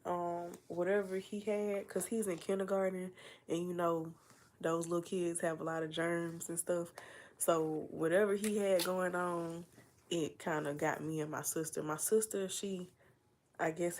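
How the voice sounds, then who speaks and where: Young woman, livestream host, congested cold voice, low-pitched with some vocal fry, chatty and meandering. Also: steady tone, a little slow, darkish tone. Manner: chatty, meandering, full of fillers and asides. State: stuffy nose from a cold. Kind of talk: casual rambling livestream chat.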